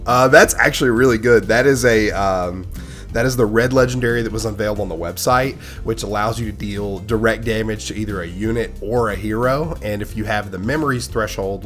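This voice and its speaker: Hoarse man with a cold, low voice. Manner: chatty, excitable over good pulls. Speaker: gaming YouTuber opening card packs. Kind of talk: casual gaming commentary.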